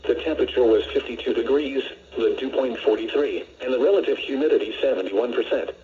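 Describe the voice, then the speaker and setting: American guy robotic weather radio announcer, soft dark tone, reads forecasts flat and impersonal, muffled lo-fi broadcast. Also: medium pitch. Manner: measured, impersonal synthetic-sounding delivery. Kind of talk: scripted weather report narration.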